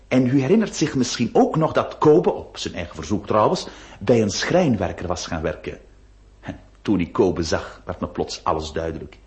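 A man's voice: on the low side, a little mellow. A male actor playing a flirtatious, easily flustered notary in a radio play, confiding and hesitant. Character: flirtatious, flustered ladies' man notary telling his story. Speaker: lead actor and narrator. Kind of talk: theatrical radio drama acting.

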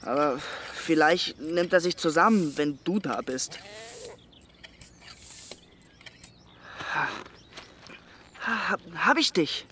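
A stubborn young villager in a radio drama. His voice is a little high, his delivery blunt and down-to-earth, with some background noise. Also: expressive, unhurried, pushed and bright. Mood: busy, wry. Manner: blunt, matter-of-fact. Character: plain-spoken, stubborn young village man. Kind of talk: naturalistic German radio drama acting.

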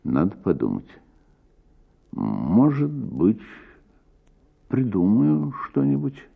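Actor playing a shy male lawyer, low-pitched, slow, hesitant, tactful, muted, radio story. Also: wildly swooping pitch, creaky, slightly rough, phone-quality sound, heavily acted. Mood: hesitant, evasive.

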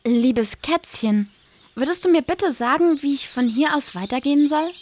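Woman as a polite curious little girl in an audio fairy tale, highish voice, bit hesitant, faint crickets behind. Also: animated, moderate pace, a little mellow, a little hiss or hum.

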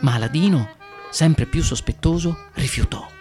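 A man reading a fairy tale aloud in expressive storytelling narration. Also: fairly high pitch, moderate pace, soft background music. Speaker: guest reader of fairy tales.

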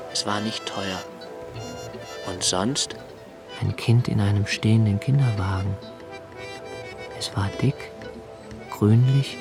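An actor playing an anxious, solitary young writer in a literary radio play monologue, halting and pensive, sometimes sinking to near-whispers. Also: male voice, lowish voice.

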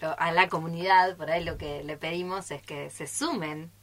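A woman's voice: low voice, fairly even intonation, moderate pace, crowd noise. A woman guest from a drama troupe chatting spontaneously and explaining things, often checking for agreement. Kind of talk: spontaneous group interview chat.

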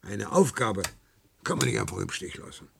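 An actor playing a dutiful, stubborn 95-year-old worker in a radio play, husky and gravelly, prone to stammering. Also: male voice, on the low side, moderate pace, noisy background. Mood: calm, proud. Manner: stammers and repeats words when agitated.

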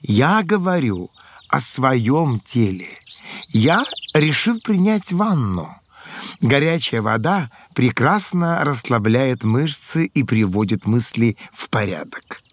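An excitable ancient Greek inventor in a children's audio drama, speaks slowly with big swings of pitch. He explains things with good-humoured care over clearly noisy background sound. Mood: amused, reflective. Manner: exclamatory, deliberate explaining.

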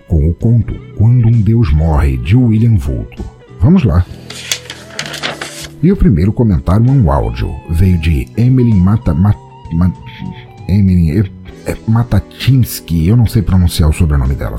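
Brazilian male podcast host, very low mellow voice, theatrical and playful delivery over music. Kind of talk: scripted podcast narration and listener feedback.

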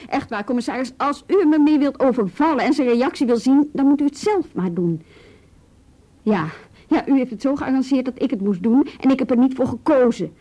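An actress playing a calm, probing policewoman in a radio drama, questioning in a measured, businesslike way. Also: high voice, lo-fi sound. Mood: firm, irritated. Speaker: police officer questioning a youth.

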